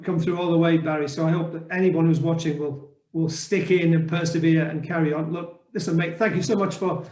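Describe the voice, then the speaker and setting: A man's voice: a little high, darkish tone, echoey room. A middle-aged man hosting a podcast over a video call from his living room, talking in a friendly, laid-back way and calling his guest "mate". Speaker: podcast host. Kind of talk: casual interview hosting. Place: home living room, indoors.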